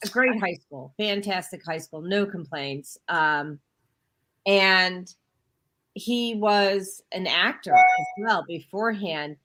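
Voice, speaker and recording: A woman's voice: fairly low pitch, slow pace, a bit bright. Chatty woman hosting a radio talk. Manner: chatty, rambling with restarts. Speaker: host. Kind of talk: casual radio chat.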